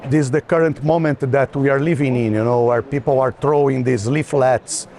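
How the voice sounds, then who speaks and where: A man's voice: medium pitch, steady tone, normal speed, darkish tone. A man speaking as an art collector in informal conversation, hesitant and often restarting his phrases. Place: art fair, walking tour. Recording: background noise of a busy hall.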